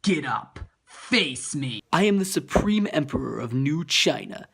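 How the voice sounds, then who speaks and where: A man's voice: a little high, big pitch swings, a little slow, gravelly, a bit bright, husky, exaggerated voice. A man puts on a cruel supreme emperor from a comic book. He is arrogant and menacing, declaiming every line with grandiose villainy. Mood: triumphant menace.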